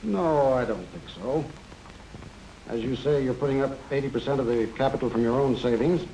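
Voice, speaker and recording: An actor playing a courteous, methodical small-town bank loan officer in a 1940s educational film, speaking in a formal, measured, businesslike way. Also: male voice, mid-range voice, dark tone, lightly acted. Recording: noisy 1940s film soundtrack.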